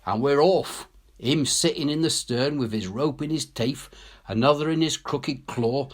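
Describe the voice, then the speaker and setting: A man's voice: medium pitch, measured pace, close to an everyday voice. A man reading a story as a working-class English soldier, telling his war tale colloquially, wry and awed. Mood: excited, awed. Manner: colloquial, ungrammatical, animated storytelling. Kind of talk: dramatic audiobook reading.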